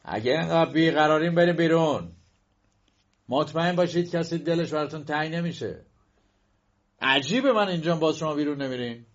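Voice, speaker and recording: A man's voice: mid-range voice, animated, close to an everyday voice. A man voicing a hostile, scornful young male student, on a dull recording.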